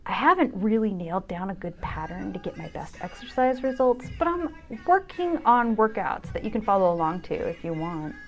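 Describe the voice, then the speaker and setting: Casting note: woman, 50s, mid-range voice, candid and wry personal narration with expressive emphasis, music underneath. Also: medium pitch, moderate pace. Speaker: vlogger and narrator of own videos.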